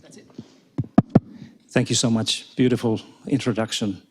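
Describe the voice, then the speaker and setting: A man's voice: mid-range voice, steady tone, moderate pace. Finnish-accented man giving a conference talk. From Finland. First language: Finnish and Swedish. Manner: chatty, hesitant, laughs often, jokes with audience. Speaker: keynote speaker. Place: conference hall with live audience.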